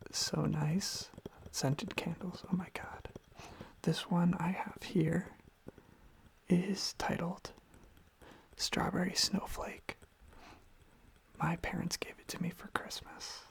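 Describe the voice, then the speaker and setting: A person whispering a gentle, soothing ASMR ramble in a breathy voice with some fry, softly repeating phrases close to the microphone. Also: mid-range voice. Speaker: ASMR video creator. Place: own room.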